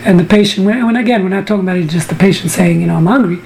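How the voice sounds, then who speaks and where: A woman's voice: fairly low pitch, rapid-fire, gravelly, darkish tone, slight background noise. A woman from the US teaching a Talmud class over a video call, joking and bantering with participants. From United States. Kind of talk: online study class.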